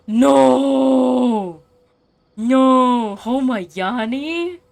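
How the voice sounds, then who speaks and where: A woman doing casual, expressive, reactive commentary as she plays a video game. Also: sits high, very slow, gravelly.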